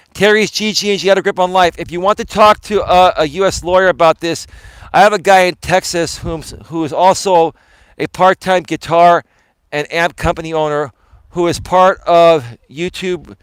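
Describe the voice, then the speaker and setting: A man live streaming outdoors on his phone while walking, talking to viewers in a rambling, emphatic way, sounding tired and a little hoarse. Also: sits high, a bit bright, no echo, rough recording. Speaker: life coach and live streamer. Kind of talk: casual live stream talk to chat. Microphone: phone camera held while walking.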